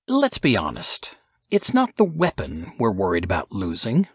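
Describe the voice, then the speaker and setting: A man's voice: lowish voice, huge pitch swings, normal speed, husky, no echo, highs cut off, full character voice. A man voicing a scheming, lecturing man of grand ambitions in an audiobook, speaking emphatically and rhetorically. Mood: grandiose, scheming. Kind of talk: audiobook character dialogue.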